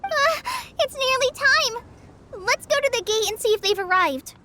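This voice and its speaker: Squeaky pint-sized fairy sidekick, bossy and excitable, very high and bouncy, anime game. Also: female voice, unhurried, full character voice. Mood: excited. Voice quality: squeaky, childlike. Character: tiny excitable floating fairy companion, childlike and bossy.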